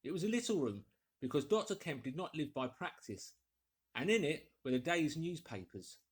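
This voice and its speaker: Husky male storyteller with animated delivery. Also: a little high, normal speed. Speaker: audiobook narrator, actor. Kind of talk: dramatic scripted story narration.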